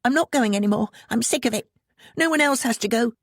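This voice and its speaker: A woman's voice: a little high, a little fast, no room sound, clean studio sound, pitched above the performer's own voice, lightly acted. A woman voicing a snappish, defiant teenage daughter in an audiobook, protesting indignantly and sounding fed up. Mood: defiant, exasperated.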